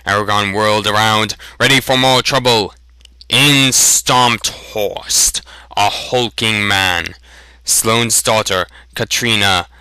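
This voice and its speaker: A man narrating a fantasy story as a dramatic reading, with a deliberate, slightly halting amateur delivery. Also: on the low side, pushed and bright, smooth, no room sound.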